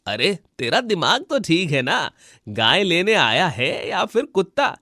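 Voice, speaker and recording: A man's voice: high-pitched, sing-song, unhurried, pushed and bright, no room sound, studio quality, heavily acted. A man voicing a proud, irritable village cattle trader in a cartoon, boastful and brusque. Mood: irritated, scornful. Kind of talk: Hindi cartoon moral-story dubbing.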